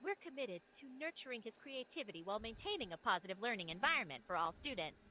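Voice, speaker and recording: A woman's voice: on the high side, sing-song, fast, sharp tone, a little hiss or hum. A woman speaking in a polished, formal phone manner, effusive, diplomatic and courteous, like a school principal. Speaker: AI prank caller posing as school principal. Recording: dull lo-fi phone call playback.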